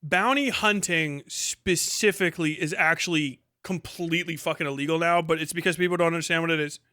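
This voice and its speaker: A man's voice: on the high side, fairly even intonation, brisk, pushed and bright, no echo, studio quality. A man giving casual, rambling explanatory commentary as a streamer, informal and swearing freely. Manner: informal, heavily profane.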